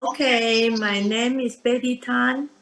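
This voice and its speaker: Slow, halting Taiwanese-born woman. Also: on the high side, steady tone. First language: Mandarin. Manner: hesitant, halting, with many fillers and soft laughs. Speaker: interview guest. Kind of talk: casual chat.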